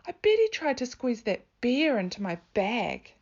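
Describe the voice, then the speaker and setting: A loud, tattling older sister from a storybook, put on by a woman reader. She is bossy and accusing, pitched high, on a slightly muffled recording. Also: big pitch swings, normal speed.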